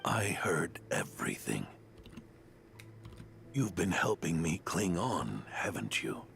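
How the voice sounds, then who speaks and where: A gentle, dying knight from a game drama, speaking low and very slowly. His voice is gravelly and halting, tender and resigned, like a father figure. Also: very animated, slight background noise, exaggerated voice. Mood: tender, weak. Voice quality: breathy, gravelly, whispery. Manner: slow, halting, affectionate. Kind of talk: dramatic video game voice acting.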